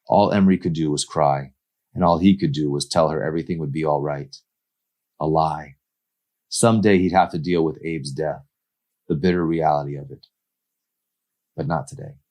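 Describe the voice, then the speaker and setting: A man with a deep voice reads fiction aloud as its author. His delivery is expressive, in the manner of an audiobook narrator. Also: normal speed, pauses often. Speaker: author reading his own novel.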